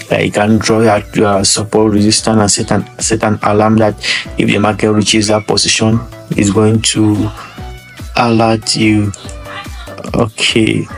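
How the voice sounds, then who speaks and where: A man's voice: on the low side, creaky. A man from Nigeria with a Nigerian accent, casually walking through a screen-share tutorial and often repeating his words. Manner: rambling, repeats words, chuckles. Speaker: trading tutor.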